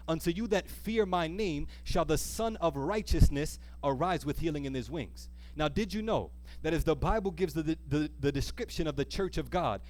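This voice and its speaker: A man delivers a sermon in a fairly high voice. He is emphatic and exhorting as he reads scripture. He often pauses on a question to draw his listeners in before pressing on. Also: darkish tone. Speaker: preacher. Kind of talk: sermon with scripture reading and call-and-response questions. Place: church service, live.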